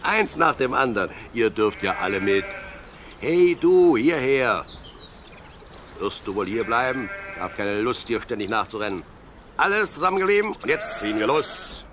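Man playing animated young shepherd boy. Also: medium pitch, moderate pace, slight background noise, natural voice. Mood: bossy. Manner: playful, expressive, talks to himself and his sheep. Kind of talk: audio play acting.

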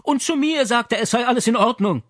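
A man's voice: extremely high pitch, fairly quick, fairly bright tone, no room sound, clean studio sound, voice pushed up. A man voicing an exasperated, fretful Italian mother in an audiobook, indignant and plaintive. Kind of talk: audiobook character acting.

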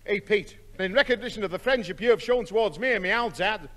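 A man's voice: high voice, fast talker, a bit bright. An actor playing a daft, chummy neighbour with a Liverpool accent, matey and muddling his words, in radio comedy. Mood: self-important. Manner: matey, malapropism-prone. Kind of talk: 1960s radio comedy acting.

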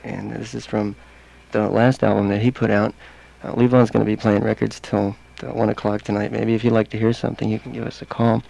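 A man hosting a casual radio interview as the DJ, low-key and unhurried, in a studio. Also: flat delivery, vocal fry, warm tone. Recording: noisy old radio aircheck.

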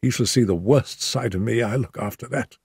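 A man voicing an injured detective who mutters in a weak, hoarse whisper, wry and scheming, in an audiobook. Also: mid-range voice, a little fast, darkish tone, no room sound, studio quality, pitched above the performer's own voice. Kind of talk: audiobook character voice.

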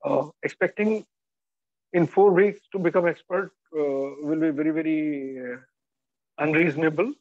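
A man with a bad cough and cold, giving a halting webinar introduction with many filler pauses. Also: a little high, a little mellow, no echo. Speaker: cyber forensics instructor. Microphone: video call. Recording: dull lo-fi video call audio.

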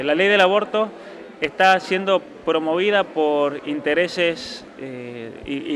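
Young male, Argentine accent blurred by years abroad, slightly high voice, very quick delivery, earnest interviewee. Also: fairly bright tone. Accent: Argentine, softened by years abroad. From San Juan, Argentina. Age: young adult. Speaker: author being interviewed. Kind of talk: interview answers.

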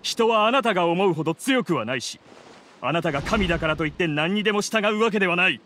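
A man's voice: high-pitched, lively intonation, fast, a bit bright, a little hiss or hum. A man voicing an arrogant, coldly defiant scholar villain in a game, with haughty, theatrical delivery. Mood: haughty, menacing. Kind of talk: scripted Japanese game voice acting.

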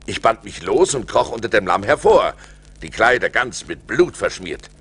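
A man's voice: lowish voice, moderate pace, slightly rough, heavily acted. An actor playing a fearful yet resourceful seafaring adventurer, telling his perils in a theatrical, suspenseful way for an audio play. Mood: tense, excited. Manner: theatrical, suspenseful, expressive delivery. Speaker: narrator and lead actor. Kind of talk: dramatic storytelling in a German audio play. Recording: vinyl record transfer.

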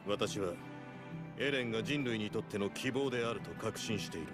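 An actor playing a composed male commander who speaks in a measured, formal, authoritative way. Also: fairly low pitch, over music, lightly acted.

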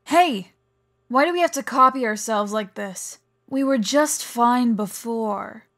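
A woman's voice: mid-range voice, fairly even intonation, normal speed, close to an everyday voice. A woman voicing a bratty, scornful young villain in a comic dub, complaining and dismissive. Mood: annoyed. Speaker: voice actor in a fan comic dub.

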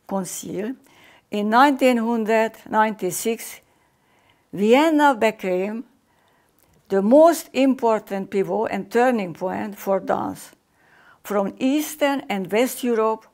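Older woman, Hungarian accent, very slow, halting English, formal welcome speech, indoor room. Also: mid-range voice, a little mellow. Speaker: competition artistic director. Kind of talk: formal video welcome address. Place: indoor room with painting behind.

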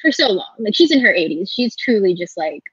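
A young woman talks casually into her laptop on a video call, full of "like" and loose asides. Her voice sits at a middle pitch. The sound is dry and a little dull, typical lo-fi webcam audio. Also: sing-song, a little fast, husky, no echo. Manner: chatty, filler-heavy, with frequent "like". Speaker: voice actor panelist. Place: home kitchen.

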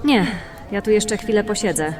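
A woman's voice: mid-range voice, moderate pace, recorded from a distance, natural voice. A woman playing a practical, level-headed fantasy adventurer in an audio drama, speaking in a matter-of-fact, composed way. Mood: calming, firm.

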